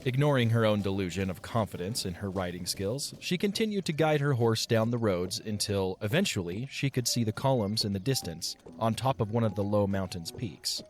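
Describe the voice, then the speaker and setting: Lower-pitched man narrating a fantasy story. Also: expressive, moderate pace, few pauses, faint music behind. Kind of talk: scripted storybook narration for an audio drama.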